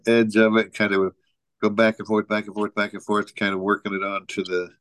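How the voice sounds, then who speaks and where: Guy with a lowish voice, rambly casual storytelling, chuckles a lot, pretty dry close sound. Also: flat delivery, a little fast, fairly bright tone, no echo. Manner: rambling, peppered with "you know". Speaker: podcast co-host. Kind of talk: casual chat, telling an anecdote.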